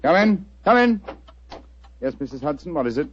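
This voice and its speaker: An actor playing a brilliant, restless English gentleman detective with precise, urbane, theatrical diction in a 1940s radio drama. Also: a little high, big pitch swings, normal speed, slight background noise. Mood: brisk. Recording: dull, dry 1940s radio recording.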